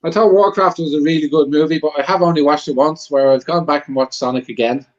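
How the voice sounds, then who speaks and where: A man's voice: on the high side, steady tone, quick pace, lo-fi sound. A man chatting casually as a guest panelist, giving his opinions on films in an easygoing, repetitive way. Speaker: guest panelist on a movie debate show.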